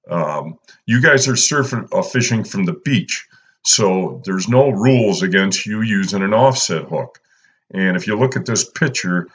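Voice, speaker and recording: Man, low voice, easygoing how-to talk, filler-heavy, muffled lo-fi sound. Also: breathy edge. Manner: laid-back, lots of "uh" and "you know". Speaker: fishing channel host. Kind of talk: casual instructional voice-over.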